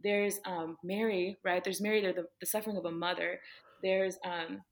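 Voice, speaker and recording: A woman's voice: lowish voice, fairly even intonation, moderate pace. Earnest young woman, filler-heavy chat. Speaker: podcast guest. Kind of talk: casual faith conversation.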